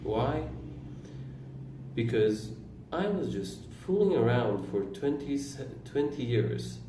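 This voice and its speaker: A man in his twenties whose first language is Turkish, talking slowly about his own life in a halting way, pausing and correcting himself. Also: mid-range voice, big pitch swings, mellow. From Iran. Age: 20s. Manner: slow, halting, with pauses and self-corrections. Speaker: vlogger. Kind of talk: reflective personal monologue. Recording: roomy, distant mic with faint room noise.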